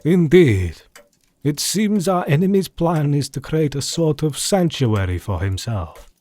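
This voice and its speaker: A man's voice: fairly high pitch, big pitch swings, mellow, no echo. Grave old doctor hunting vampires played by a man. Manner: formal, measured, solemn. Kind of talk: audio drama acting.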